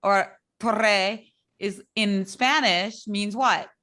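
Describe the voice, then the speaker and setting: A woman's voice: mid-range voice, very slow, sharp tone. Encouraging woman hosting an online chess class. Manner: encouraging, organizes the session. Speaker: chess club host.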